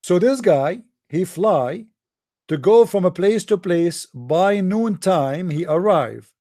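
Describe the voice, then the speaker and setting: A man's voice: high voice, animated, slow pace, dark tone, no room sound, clean studio sound. A man in a live online debate who reads a passage aloud and mocks it with sarcastic, mock-serious jokes. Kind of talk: live online debate, reading and mocking commentary.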